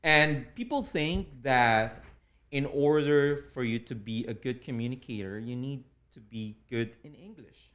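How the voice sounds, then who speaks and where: A man with a Filipino accent, a native Tagalog speaker, explaining things earnestly as an interview guest in deliberate, formal phrasing. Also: medium pitch, a bit bright. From Philippines. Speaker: interview guest, public speaking club officer.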